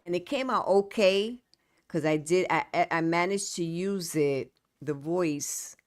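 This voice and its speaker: A woman's voice: on the low side, unhurried, no echo, studio quality. A middle-aged woman with a New York accent, a guest poet and author chatting casually, repeating words and trailing off. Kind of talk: casual conversation.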